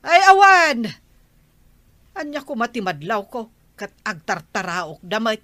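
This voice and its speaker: A woman with an Ilocano accent acting an expressive, indignant village wife in a comedy drama. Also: a little high, a little slow, dry recording.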